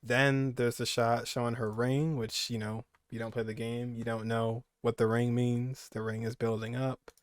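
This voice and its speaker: A man's voice: medium pitch, level tone, normal speed, hardly any pauses, clear, resonant tone, no room sound, clean studio sound. A young man giving casual, rambling reaction commentary as a gaming streamer, repeating phrases for emphasis.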